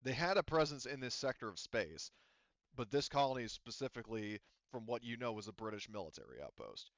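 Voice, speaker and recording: A man running a tabletop roleplaying game off the cuff as game master, rambling and halting with frequent restarts and asides. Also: mid-range voice, very animated, fairly bright tone, no room sound, muffled recording.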